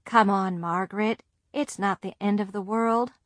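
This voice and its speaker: A woman voicing a soft-spoken tired mother. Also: mid-range voice, steady tone, normal speed, no echo, natural voice. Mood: soothing. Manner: soft and quiet. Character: gentle, tired middle-aged mother.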